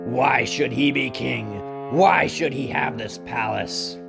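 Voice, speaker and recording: An actor playing a defeated, bitter usurper king in a Bible audio drama, with a gravelly voice and slow, dramatic delivery. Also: mid-range voice, music in the background. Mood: bitter, anguished.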